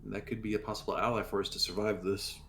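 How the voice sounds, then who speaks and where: Lowish-voiced man chatting at a game table. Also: level tone, fast talker, fairly bright tone, a little hiss or hum. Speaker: player in a tabletop role-playing game. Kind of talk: casual game-table chat.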